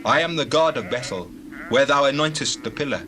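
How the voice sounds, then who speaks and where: Male actor for biblical shepherd, earnest and declamatory, righteous. Medium pitch, clearly noisy pastoral scene. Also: lively intonation, brisk, natural voice. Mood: aggrieved, testifying. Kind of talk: dramatized Bible reading, audio drama acting. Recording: noisy scene with sheep and bird effects.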